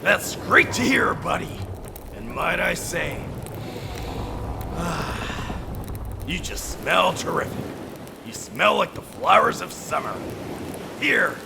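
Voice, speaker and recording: A man's voice: on the high side, sing-song, slow, gravelly, long pauses, background noise, full character voice. A man playing a mad ship captain in an audio drama, theatrically jovial with menace underneath, pompous and falsely chummy. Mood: manic jovial.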